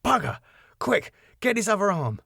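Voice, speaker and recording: A man's voice: high-pitched, big pitch swings, moderate pace, gravelly, bright, forceful tone, slightly rough, no room sound, studio quality, pitched above the performer's own voice, heavily acted. A man voicing a harried, grumbling man in a sitcom, urgent, blunt and exasperated. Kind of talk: sitcom script read aloud.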